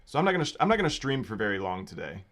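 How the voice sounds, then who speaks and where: A man with a slightly raspy voice giving casual live coding commentary, thinking aloud informally as a developer hosting a livestream. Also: fairly low pitch, sing-song, rapid-fire, a bit bright. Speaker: livestream host and software developer. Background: computer keyboard typing and clicking.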